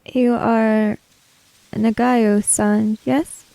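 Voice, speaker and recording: A woman playing a calm, courteous female samurai in a tabletop game, measured, formal and reserved as she questions a spirit. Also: fairly high pitch, vocal fry, no room sound, full character voice, rough recording. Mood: calm, probing.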